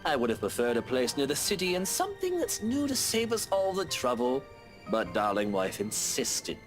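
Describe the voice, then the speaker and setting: A smug, self-assured husband in a video game. He talks breezily with big swings of pitch, over light music. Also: wildly swooping pitch, moderate pace. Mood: smug, breezy. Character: smug, confident married man, affable show-off.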